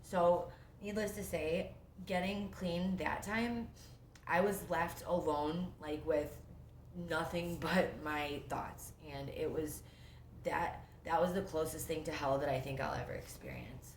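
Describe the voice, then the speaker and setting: Young woman, low voice, sniffly with a cold, rambling casual storytelling, echoey room, faint noise. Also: fairly even intonation, a little slow. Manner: rambling, lots of fillers and self-interruptions. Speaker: vlogger sharing her recovery story. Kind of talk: casual first-person storytelling. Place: at home.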